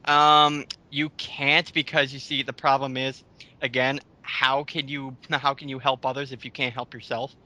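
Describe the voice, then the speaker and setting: A man's voice: mid-range voice, flat delivery, normal speed, pushed and bright. A blunt, sarcastic, foul-mouthed man giving mocking commentary on an online post with others.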